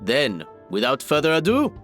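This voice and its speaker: An actor playing a showy, formal master of ceremonies in a video game, announcing theatrically. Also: male voice, on the high side, wildly swooping pitch, moderate pace, fairly bright tone, soft background music. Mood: grand, ceremonious. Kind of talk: video game character acting.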